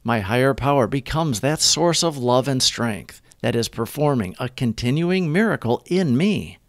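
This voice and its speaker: A man reads a scripted reflection in a measured, deliberate way. His mid-pitched voice rises and falls with a lot of expression. The sound is dry, with no room echo at all. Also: animated. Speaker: host of recovery readings. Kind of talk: scripted devotional reading.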